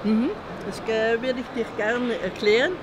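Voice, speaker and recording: A woman's voice: a little high, steady tone, moderate pace. An older woman, a craftswoman exhibitor, explaining in a hesitant way with many fillers at a fair. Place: busy indoor convention hall. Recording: noisy hall ambience.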